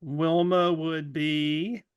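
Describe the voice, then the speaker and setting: A man co-hosting a podcast in casual, joking chat, halting as he thinks his way through aloud. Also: a little high, flat delivery, smooth. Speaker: podcast co-host.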